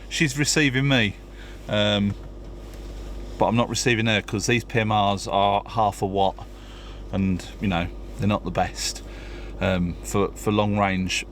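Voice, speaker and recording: Man vlogging over clear vehicle noise. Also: on the low side, steady tone, unhurried, fairly bright tone. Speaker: vlogger testing two-way radios. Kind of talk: casual vlog talk with radio check calls.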